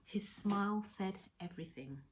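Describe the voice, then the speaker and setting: A woman reading a storybook aloud like a teacher to pupils, in a measured, expressive storytelling manner. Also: medium pitch, a little hiss or hum, poor audio. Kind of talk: read-aloud storybook narration.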